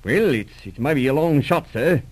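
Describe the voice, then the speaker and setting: A man's voice: on the high side, normal speed. A man playing a deferential, eager country policeman with folksy phrasing, in 1940s radio drama style. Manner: folksy, respectful, lively. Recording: old radio serial recording.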